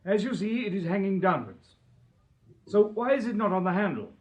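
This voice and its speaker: An actor playing an officious, genially authoritative Victorian police superior in a British radio drama, formal, courteous and English-accented. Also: male voice, sits high, very animated, fairly quick. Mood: brisk, approving.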